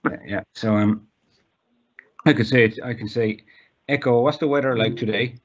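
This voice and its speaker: A man's voice: medium pitch, normal speed, dull audio. An Irish man giving a casual, informal demonstration as a guest, with some hesitation. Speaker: guest demonstrating accessible technology.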